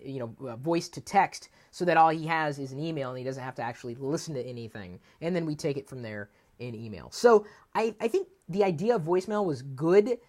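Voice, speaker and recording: A man hosting a live tech show with casual commentary, griping in a comically exasperated manner. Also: a little high. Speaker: host.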